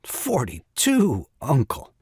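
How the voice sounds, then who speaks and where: An actor playing an excitable, scheming young father and ingratiating nephew in an audio farce, flustered and wheedling. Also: mid-range voice, slow, slightly rough, dry recording, studio quality, heavily acted. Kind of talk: stage farce audio drama acting.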